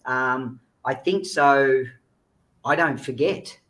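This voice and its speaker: A man's voice: mid-range voice, slow, a bit bright. A man giving informal, earnest, explanatory answers as an interview guest on a video call. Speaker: guest speaker, child recovery agency founder.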